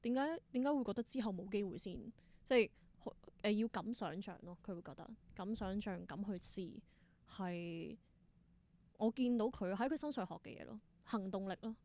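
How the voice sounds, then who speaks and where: A woman's voice: mid-range voice, moderate pace, darkish tone. A young adult woman with a Hong Kong Cantonese accent, chatting reflectively as an interview guest and mixing in English words. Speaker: interview guest, theatre performer and creator. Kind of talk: casual interview conversation.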